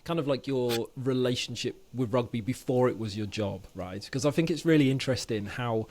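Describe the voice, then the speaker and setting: A man's voice: mid-range voice, a little fast, a little mellow. Chatty podcast host man. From Wales, grew up in England. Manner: chatty, lots of fillers and "mate". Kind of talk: casual interview chat.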